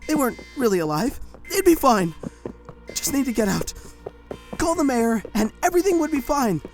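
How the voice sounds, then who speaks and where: A woman's voice: sits high, big pitch swings, normal speed, a little mellow, faint music behind. A woman narrating a first-person audio drama in a tense, breathless storytelling manner.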